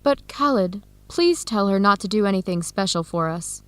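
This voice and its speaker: A woman's voice: medium pitch, lively intonation, normal speed, no room sound, close to an everyday voice. A woman playing a friendly, considerate young Ethiopian American student in an educational radio drama, speaking earnestly.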